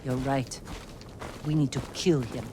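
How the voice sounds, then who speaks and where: An actor playing a pragmatic, loyal fighter in a video game, talking in plain, clipped, practical phrases. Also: male voice, medium pitch, steady tone, a little slow, background noise, close to an everyday voice. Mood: watchful, earnest. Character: pragmatic male fighter, loyal companion scouting enemies. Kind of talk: video game cutscene acting.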